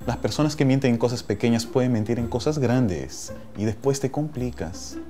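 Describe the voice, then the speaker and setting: A man's voice: medium pitch, lively intonation, moderate pace, dark tone, soft background music. A man from Peru speaking with a Peruvian Spanish accent as a native Spanish speaker, patiently correcting a mix-up about his books. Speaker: author guest.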